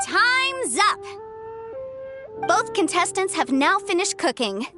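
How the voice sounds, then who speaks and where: Excitable contest announcer girl in a game, super high voice, theatrical, music behind. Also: slow pace, exaggerated voice. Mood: official, brisk. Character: excitable young female cooking contest announcer. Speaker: host. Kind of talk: game voice acting, stage commentary.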